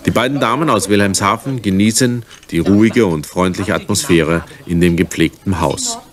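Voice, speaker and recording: Deep-voiced male documentary narrator. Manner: measured, matter-of-fact.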